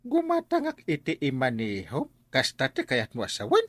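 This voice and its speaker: An actor playing an animated, blustery village buddy with an Ilocano accent, sputtering and exclaiming in a radio comedy. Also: male voice, mid-range voice, normal speed, no echo. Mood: surprised, curious. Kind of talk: radio comedy drama acting.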